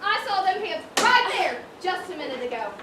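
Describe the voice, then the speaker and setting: A woman's voice: extremely high pitch, sing-song, normal speed. A woman playing a brash, suspicious mature customer with blunt, folksy speech in an amateur stage comedy. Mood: suspicious, outraged. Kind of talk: amateur one-act stage comedy acting. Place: stage in a large echoey room. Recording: echoey stage, noisy, far-off mic.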